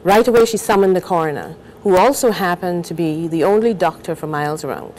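An older woman from Guyana, a novelist, talking and reading aloud in a measured, thoughtful way on a TV studio set. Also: fairly low pitch, a little mellow, slight background noise, low-quality recording. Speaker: novelist guest.

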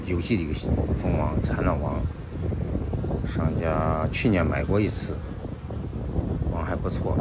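A man beekeeper casually narrating his hands-on work outdoors at open hives. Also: rumbling low. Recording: noisy outdoors, buzzing bees.

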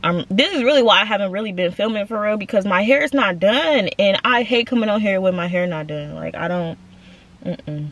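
A woman's voice: medium pitch, fairly quick, fairly bright tone, dry recording. A young woman in her twenties chatting casually to her viewers from inside a parked car, full of slang and calling them y'all. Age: 20s. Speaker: vlogger. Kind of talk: casual chat to camera.

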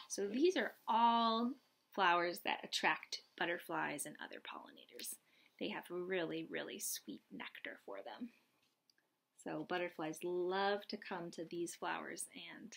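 A young adult woman reading aloud and explaining gently, as a teacher does for young children. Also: mid-range voice, vocal fry. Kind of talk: children's read-aloud and lesson. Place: small lamp-lit room at home, indoors.

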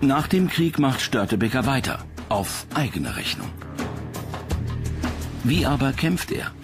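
Gravelly male documentary narrator. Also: mid-range voice, sing-song, a little slow, over music. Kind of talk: scripted narration.

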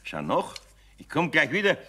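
An actor playing a grumbly but kindly older master craftsman with a Bavarian accent and a raspy voice, in a children's radio play. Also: a little high, wildly swooping pitch, moderate pace, sharp tone, full character voice.

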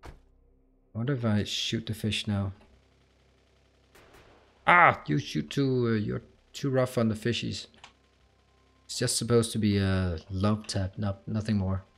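A man's voice: lowish voice, steady tone, slow, vocal fry, long pauses, a bit bright. A man from Denmark with a Danish accent, chatting casually on a game livestream and answering chat as he plays. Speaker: streamer.

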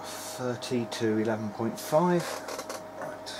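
A man talks casually to himself while working through a hands-on electronics test. His remarks come in short, halting, muttered bursts at a middling pitch. Clear background noise sits behind him in a small workshop, picked up by a camera on the bench. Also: expressive. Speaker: hobbyist presenter of a video diary. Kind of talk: casual running commentary while testing electronics. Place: small cluttered indoor electronics workbench.

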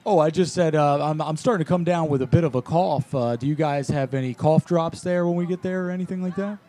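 A man's voice: a little high, fast, mellow. A man in his thirties improvising a prank call as a chatty, rambling, folksy tourist into a close mic. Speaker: prank call show host. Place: small decorated indoor studio. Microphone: close studio mic on a boom arm.

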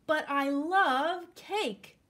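A woman's voice: high-pitched, very slow, a bit bright, pitched above the performer's own voice, heavily acted. Woman voicing an earnest, easily tempted storybook dog. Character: eager, well-meaning but easily tempted pet dog, male.

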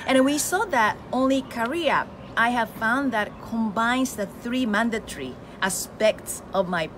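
A middle-aged Japanese woman with a Japanese accent, talking live to followers on a phone held at arm's length on an outdoor veranda, animated and asking her listeners questions. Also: on the high side, slight background noise. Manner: animated, exclaims, gestures with hands, asks listeners questions. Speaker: face yoga teacher. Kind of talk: live motivational talk to followers.

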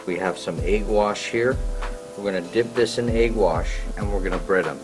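Low-voiced middle-aged man cooking outdoors. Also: normal speed, music in the background. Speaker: chef and cooking show host. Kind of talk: casual cooking demonstration. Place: outdoor patio at night.

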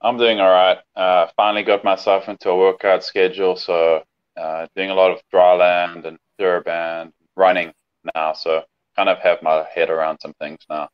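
A man's voice: low-pitched, flat delivery, normal speed, clean tone. A young man in his twenties from South Africa, talking easygoing and plainly as a guest on a video call. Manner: easygoing, plain, many ums. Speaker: guest swimmer. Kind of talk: casual interview on a video call. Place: home room. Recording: dull lo-fi call audio.

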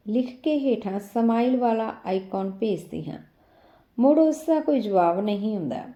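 A woman's voice: medium pitch, warm tone. A woman reading an emotional first-person short story as a scripted audiobook narration.

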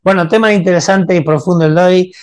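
An older man hosting an interview over a video call from a room at home, calm and affirming. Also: sits high, fast talker. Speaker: interview host. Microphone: video call webcam.

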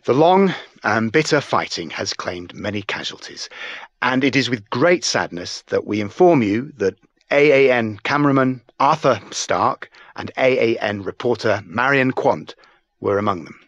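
A man playing a composed, solemn TV news anchor with formal, measured delivery in a video game. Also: mid-range voice, animated, fairly bright tone, dry recording, lo-fi sound. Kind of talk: scripted newscast in a game cutscene.

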